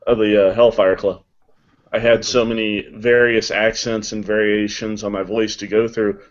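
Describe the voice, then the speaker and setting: A man, a voice actor, answering interview questions casually in a deliberate, wordy way. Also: fairly low pitch, level tone. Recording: dull lo-fi online call audio.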